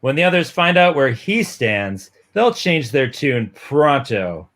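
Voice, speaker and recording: Casting: male reader as young sweetheart, vintage romance comic, medium pitch, relaxed and reassuring. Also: slow pace. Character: easygoing, affectionate young small-town fiancé. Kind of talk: dramatic reading of a 1950s romance comic.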